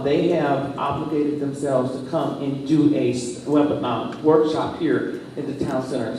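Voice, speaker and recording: A man's voice: on the high side, mellow, a little hiss or hum. A man who appears to be the mayor chairing a public board meeting, making loose, rambling announcements. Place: village board meeting room. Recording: echoey hall, picked up from far off.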